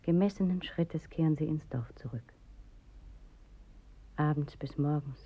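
A performer narrating as a somber storyteller in a poetic radio play, measured and at times hushed. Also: medium pitch, breathy edge. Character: somber storyteller of village widows at graves. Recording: dull 1980s radio recording. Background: music.

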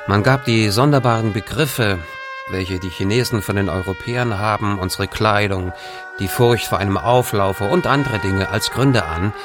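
Male actor as bemused traveller of a bygone era, low voice, measured formal reading over music. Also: close to an everyday voice. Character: male European traveller of old, observant, bemused memoirist. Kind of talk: literary reading of an old travel account.

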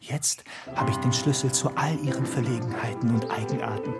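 An actor playing a charming, playful young gentleman in a radio drama, sly and teasing. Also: medium pitch, fairly even intonation, fairly quick, close to an everyday voice. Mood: sly, confiding. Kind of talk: German radio drama acting. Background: music.

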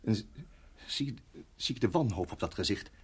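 An actor playing a shaken middle-aged father in a radio drama, speaking haltingly and repeating his words. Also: fairly low pitch, animated, breathy edge. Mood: haunted, brooding. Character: shaken father, a former Rhodesian farmer, hesitant and troubled.

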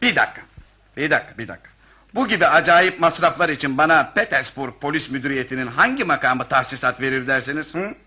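Officious police bureaucrat, piercing forceful tone, slightly high male voice, pompous, pedantic, evasive, radio theatre comedy. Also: full character voice. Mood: indignant.

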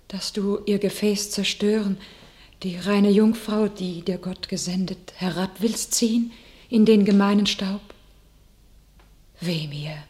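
A woman's voice: medium pitch, darkish tone, close to an everyday voice. A woman playing a devout young warrior maid. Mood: indignant. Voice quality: solemn, declamatory. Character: young peasant maid turned holy warrior, proud and devout. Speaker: actor in a radio play. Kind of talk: classic verse radio drama.

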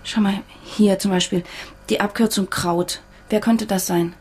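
An actress playing a decisive female journalist chasing a story, brisk in manner, in a radio drama. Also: medium pitch, fairly even intonation, darkish tone, a little hiss or hum, lightly acted. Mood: analytical.

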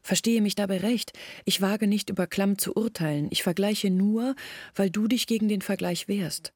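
An actress playing an earnest, bitter village woman in a German radio drama, reasoning insistently and intimately in a husky, creaky voice. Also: medium pitch, moderate pace, darkish tone, dry recording, studio quality, lightly acted. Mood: earnest, persuasive.

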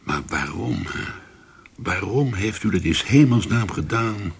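Low-voiced, weary police commissioner. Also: male voice, animated, a little slow, vocal fry, breathy edge, exaggerated voice. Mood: probing. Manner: probing, plain-spoken. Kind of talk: radio drama acting.

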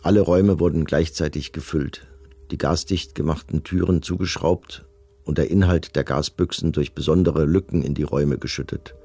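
A man's voice: a bass voice, steady tone, moderate pace, dark tone, husky, full character voice. An actor playing a cold, bureaucratic male camp commandant, reading official reports in a formal, detached way for a documentary radio drama.

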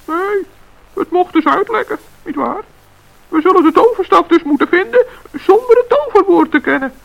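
An actor playing a kind-hearted little forest gnome in a radio play, with a very high, gravelly voice. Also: male voice, animated, normal speed, fairly bright tone, exaggerated voice. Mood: earnest. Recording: dull lo-fi radio play recording.

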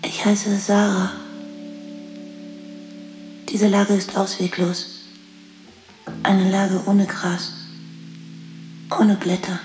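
An actress playing a fragile, dreamy woman in love, speaking hushed, measured lines in a poetic radio drama. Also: mid-range voice, little pitch movement. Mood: wistful, distant. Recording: echoey studio, music behind.

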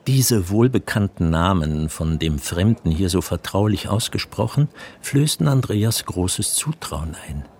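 A man narrating a literary radio drama from a script in formal, measured storytelling sentences. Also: low-pitched, expressive, a little mellow, a little hiss or hum. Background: music.

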